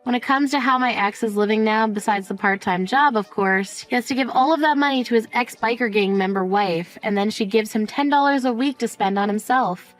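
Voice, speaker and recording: A woman's voice: a little high, brisk, hardly any pauses, soft background music, close to an everyday voice. A woman playing a cold, sarcastic mother who tells her story in a smug, dismissive way. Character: cold, sarcastic middle-aged mother, smugly unsympathetic. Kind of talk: dramatized first-person story narration.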